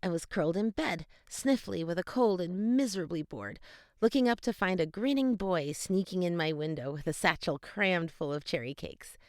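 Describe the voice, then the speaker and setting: A woman giving an expressive, dramatic first-person audiobook narration of young adult fantasy. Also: on the low side, gravelly, no room sound, clean studio sound.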